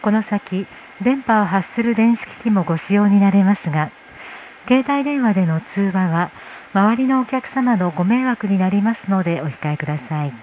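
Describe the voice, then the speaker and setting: A woman's voice: mid-range voice, normal speed. A woman working as a flight attendant, making a formal inflight announcement over the cabin PA. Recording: tinny cabin PA with noise. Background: cabin noise.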